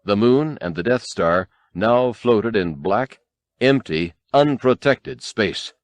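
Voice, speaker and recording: Deepish guy reading an action story dramatically, dry close sound. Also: animated, slow pace, no echo. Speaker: audiobook narrator. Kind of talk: dramatic scripted storytelling narration.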